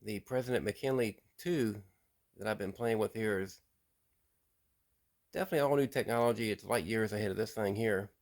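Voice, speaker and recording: A man hosting a casual show-and-tell about radio gear, relaxed and plain-spoken, with frequent pauses and repeated words. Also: lowish voice. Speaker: radio hobbyist host.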